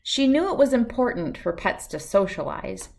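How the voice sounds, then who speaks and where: A woman reading a children's picture book aloud in an expressive storytelling style. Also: medium pitch, normal speed, gravelly.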